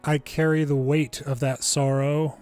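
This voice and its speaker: A man's voice: on the high side, level tone, a little slow, darkish tone, smooth. A man narrating a video game story, reading scripted dialogue and text aloud. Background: faint game music.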